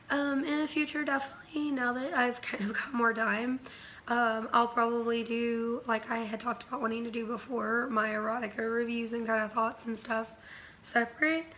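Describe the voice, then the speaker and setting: A woman's voice: a little high, sharp tone, slight background noise. A woman whose voice is worn from being sick, chatting casually about books she has read, rambling with many ums and likes. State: voice worn from illness, recovering. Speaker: book reviewer. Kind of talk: casual chatty book review.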